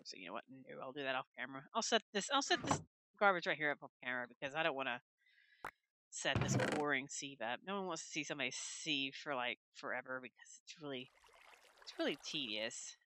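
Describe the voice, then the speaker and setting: A woman's voice: low-pitched, very noisy. A woman casually commenting on her own gameplay at home, rambling and talking to herself as she plays. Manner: rambling, talks to herself while playing. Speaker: gaming channel host. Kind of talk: casual let's-play commentary. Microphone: desk microphone.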